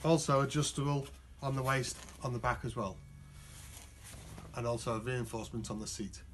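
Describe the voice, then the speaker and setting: A man's voice: medium pitch, moderate pace. A man giving a product demonstration, listing features briefly as a shop presenter. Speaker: shop presenter showing clothing. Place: indoors in a shop among clothing racks. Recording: noisy shop-floor recording.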